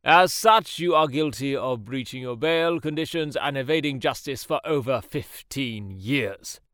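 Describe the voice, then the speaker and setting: A man's voice: mid-range voice, lively intonation, unhurried, fairly bright tone, dry recording, studio quality. An actor playing a stern male court president with formal, commanding speech. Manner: formal, commanding, official cadence. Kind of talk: radio drama acting.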